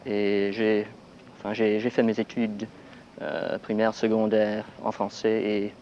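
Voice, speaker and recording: A low-voiced man from England speaks hesitantly, pausing often on fillers as he answers questions. The sound is dull and faintly hissy, a 1960s radio archive. His tone stays calm and measured. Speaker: graduate student.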